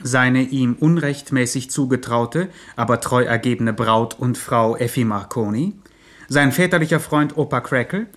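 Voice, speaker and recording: Male co-narrator, radio satire: average pitch, formal chronicler delivery with irony. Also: moderate pace, fairly bright tone.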